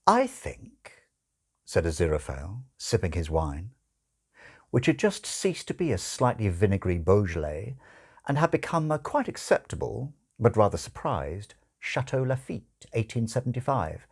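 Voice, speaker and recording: A man reading a literary audiobook as narrator, expressive, with wry, deliberate phrasing. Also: fairly low pitch, slightly rough.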